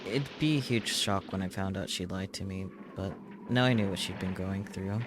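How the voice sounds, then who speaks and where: A man's voice: low-pitched, moderate pace, noisy background. A man who streams games, reading visual novel text aloud as narration.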